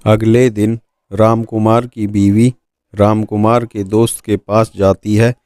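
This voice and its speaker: A man's voice: fairly low pitch, little pitch movement, slow, darkish tone, no room sound, studio quality. A man reading scripted narration of a horror folk tale for a cartoon. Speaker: storyteller. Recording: music behind the voice.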